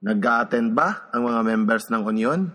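A man's voice: medium pitch, animated, a little slow, bright, forceful tone. A man playing a stern, threatening military officer lecturing workers in a radio drama, with an authoritative, admonishing manner. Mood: firm, condescending.

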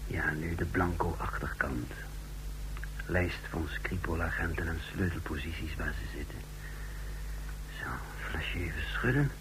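A man playing a scheming, coolly contemptuous secret agent on the run in an old radio play, speaking deliberately and broodingly. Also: extremely low pitch. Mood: tense, secretive. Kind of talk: old Dutch radio play acting, first-person narration. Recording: noisy old radio drama recording.

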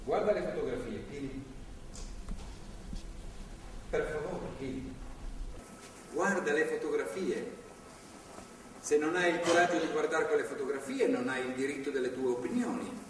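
An actor playing a stern, paternal anti-abortion zealot in a stage drama, speaking in an earnest, insistent, moralizing way. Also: male voice, fairly high pitch, normal speed, pauses often, close to an everyday voice. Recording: echoey hall from far off, clearly noisy.

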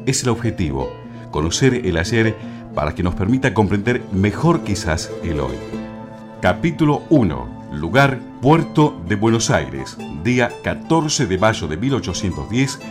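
A man with an Argentine accent narrating a scripted radio drama introduction in a solemn, measured manner. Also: lowish voice, big pitch swings. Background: guitar music.

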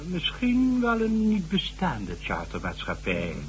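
An actor playing a shrewd, authoritative high-ranking government official in a radio drama, talking in a smooth, calculating way. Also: male voice, huge pitch swings, slow pace, slight background noise, heavily acted, poor audio. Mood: scheming. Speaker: statesman addressed as excellency. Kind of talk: 1970s radio drama acting.

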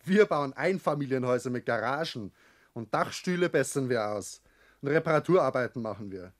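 An actor with a Bavarian accent playing a builder's ambitious, rebellious grown son in a 1960s German radio drama, blunt and reproachful. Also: male voice, medium pitch, animated, brisk, natural voice. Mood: scornful. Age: young adult.

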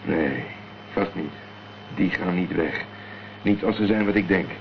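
A man's voice: sits low, a little slow, vocal fry. A man acting a cool, determined amateur investigator in a Dutch radio crime drama, speaking tersely and matter-of-factly. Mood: wary, calm. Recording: dull, noisy old radio play recording.

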